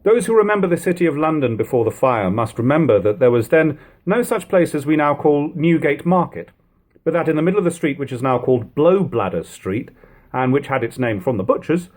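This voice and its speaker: A male narrator with a slightly rough, husky voice reads old-fashioned prose. His pitch moves animatedly through the long sentences, and he leans on key words with deliberate pauses. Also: lively intonation, darkish tone. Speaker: audiobook narrator. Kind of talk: dramatic audiobook reading of 18th-century prose.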